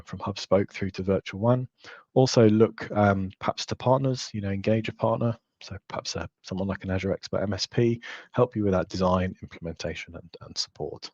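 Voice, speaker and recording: A man in his thirties with a croaky, hoarse voice, giving a relaxed webinar presentation from a home office over a headset. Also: fairly low pitch, dry recording, highs cut off. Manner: relaxed, informal, frequent fillers. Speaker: guest presenter.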